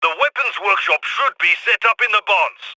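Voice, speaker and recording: An actor playing a brisk, wry male heist planner giving orders over comms in a video game. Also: high-pitched, animated, sharp tone, no room sound, exaggerated voice, poor audio. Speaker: mission coordinator.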